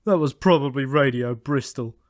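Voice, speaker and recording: A man's voice: medium pitch, normal speed, dry recording, studio quality, close to an everyday voice. A man voicing a short-tempered, world-weary male raven with a blunt, irritable, colloquial manner, in a comic fantasy audiobook.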